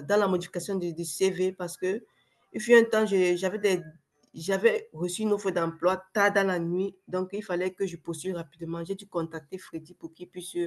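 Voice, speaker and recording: A young adult woman with a cold, speaking hesitantly as a guest on a video call webinar, with many fillers and restarts. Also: on the low side. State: sick with a cold, voice affected. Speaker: webinar guest, former client. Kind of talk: casual testimonial on a video call.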